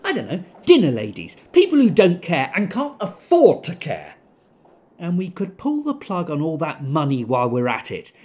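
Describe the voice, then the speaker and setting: An actor, a man, playing an exasperated, scornful crank in an audio drama, ranting loudly in clipped, emphatic phrases. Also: on the high side. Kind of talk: audio drama comic rant.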